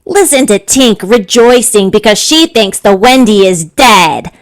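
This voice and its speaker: A woman's voice: mid-range voice, animated, a little slow, close to an everyday voice. A woman playing an earnest young boy follower in an audio play.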